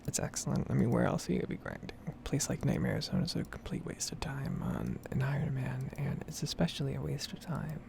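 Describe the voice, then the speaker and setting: A woman speaking in a breathy whisper, rambling casually with some swearing in an ASMR gaming video. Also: very deep voice, expressive, a little fast, hardly any pauses.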